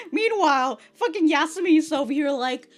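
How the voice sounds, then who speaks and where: Young woman doing a chatty anime reaction review, kinda high voice, giggly n slangy, music behind. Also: big pitch swings, measured pace. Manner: frequently swearing, informal fan slang. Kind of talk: casual rambling episode review with acted-out quotes.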